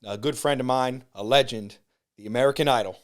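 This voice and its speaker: Male host, 30s, mid-range voice, excitable and gushing, studio podcast. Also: mid-range voice, fairly quick. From New Jersey shore. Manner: hyped, enthusiastic, talks in superlatives. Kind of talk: casual podcast chat.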